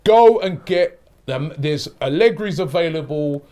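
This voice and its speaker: A man's voice: a little high, animated, a little slow, a crowd in the background. A middle-aged man hosting a fan talk show, ranting in frustration and often restarting his sentences. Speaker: host.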